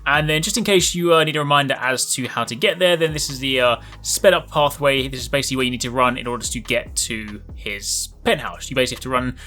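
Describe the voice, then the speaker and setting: A man's voice: medium pitch, fast talker, few pauses, sharp tone, soft background music. A man hosting a gaming guide in an upbeat, chatty YouTuber style with emphatic stresses. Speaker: gaming video host. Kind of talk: scripted video game guide narration.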